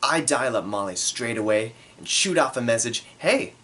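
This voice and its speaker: A man telling a comedic story from his own life in a theatrical, self-deprecating way, with dramatic pauses. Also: mid-range voice, a bit bright, slight background noise.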